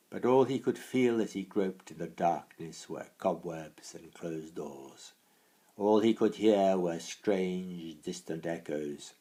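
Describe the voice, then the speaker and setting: A man reading a dark storybook tale in a theatrical manner, moving between hushed and swelling phrases. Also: low-pitched. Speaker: author reading his own story. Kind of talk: dramatic storybook narration.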